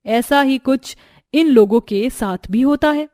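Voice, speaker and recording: Higher-pitched woman narrating a story. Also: unhurried, darkish tone, no echo, studio quality. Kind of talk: scripted moral-story narration.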